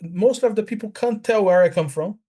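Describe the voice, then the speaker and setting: High-voiced man with an Italian accent. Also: warm tone. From Brazil. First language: Italian and Portuguese. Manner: halting, repetitive, blunt with swearing. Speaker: language learner. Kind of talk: casual chat.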